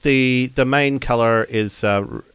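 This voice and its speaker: A man improvising his character's actions in a tabletop game, halting as he searches for words. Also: mid-range voice, fairly bright tone, smooth, no room sound. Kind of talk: improvised roleplay narration.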